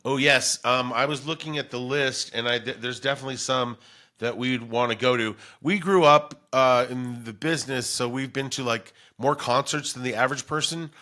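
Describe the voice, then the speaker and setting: Bright-voiced man bantering casually. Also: mid-range voice, normal speed. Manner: jokey, exclamatory. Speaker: podcast host.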